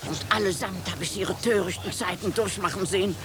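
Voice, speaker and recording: Woman acting calm pious old grandmother. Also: mid-range voice, fairly quick, noisy background, close to an everyday voice. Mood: calm admonishing. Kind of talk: 1950s German radio drama acting.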